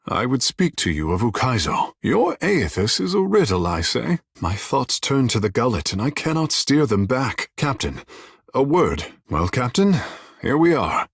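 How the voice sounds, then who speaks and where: An actor voicing a vain, flirtatious sea-folk water shaper in a video game, with theatrical, languid, florid delivery. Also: male voice, medium pitch, very animated, moderate pace, creaky, a bit bright, slightly rough, no room sound, studio quality, full character voice. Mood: musing. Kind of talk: video game character dialogue.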